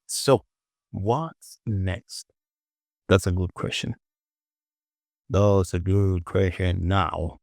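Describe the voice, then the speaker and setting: A man casually presenting a software tutorial, talking to himself as he works and repeating short phrases. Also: deep voice, creaky, dry recording, clean studio sound.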